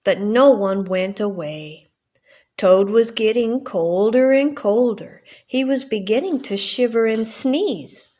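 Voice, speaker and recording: A woman's voice: fairly high pitch, takes it slowly, warm tone. An older woman narrating a children's storybook as a storyteller.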